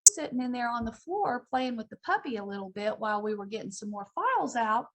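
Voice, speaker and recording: A woman's voice: on the high side, sing-song, fairly quick. A middle-aged or older woman recalling events conversationally in a news interview. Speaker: interviewee, relative of a victim.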